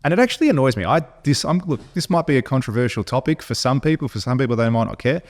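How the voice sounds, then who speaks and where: An Australian man co-hosting a podcast, talking bluntly in an animated, opinionated way, with frequent restarts. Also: medium pitch, fast talker. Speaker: podcast co-host. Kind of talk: casual opinionated chat.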